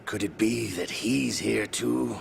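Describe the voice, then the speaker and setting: A man's voice: on the low side, slow pace, vocal fry, slight background noise, full character voice. An actor playing a sly, theatrical villain's henchman with an insinuating, menacing manner in a video game. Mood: sly, scheming. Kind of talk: dramatic video game cutscene acting.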